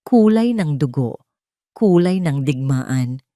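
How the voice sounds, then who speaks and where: A woman narrating a dramatic story in a scripted storytelling style, slowing down and lifting her voice for tense moments. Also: low-pitched, expressive, warm tone, dry recording, studio quality.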